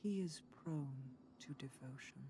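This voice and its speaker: An actress playing a haughty, grieving queen with formal, measured, imperious diction in a video game drama. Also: low voice, slight background noise. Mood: solemn, wistful. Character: haughty grieving queen, regal and wounded sister. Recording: loud background music.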